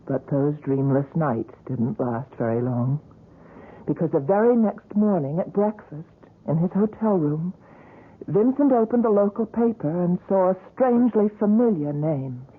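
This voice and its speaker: A woman's voice: on the low side, lively intonation, measured pace, husky. An actress playing a devoted young woman who works at a gallery, in a 1970s radio drama, speaking fondly and reassuringly and sometimes narrating past events. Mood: reflective. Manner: fond, fluttery, reassuring. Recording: narrow lo-fi radio broadcast.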